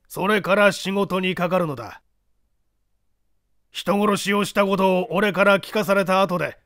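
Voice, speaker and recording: A man voicing a bold young ringleader of a workers' gang in an audiobook, rough, commanding and threatening. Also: high-pitched, fairly bright tone, no room sound, studio quality, pitched above the performer's own voice.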